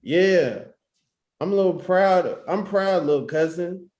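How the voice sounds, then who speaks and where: A man's voice: high-pitched, slow, darkish tone. A man acting a brash, teasing relative of a groom in a comedy table read, casual and slangy, in an echoey room. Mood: amused.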